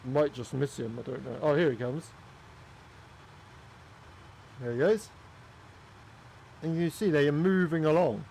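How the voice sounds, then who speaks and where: A man's voice: a little high, normal speed, creaky, darkish tone, a little hiss or hum. A man from Wales with a Welsh accent, giving casual, chatty commentary while flying a flight simulator. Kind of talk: casual gaming commentary with chat.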